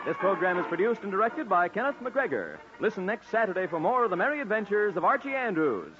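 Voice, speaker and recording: A man's voice: sits high, very animated, quick pace, soft background music. An American man reading scripted announcements as a 1940s radio announcer. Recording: scratchy, muffled 1940s radio recording.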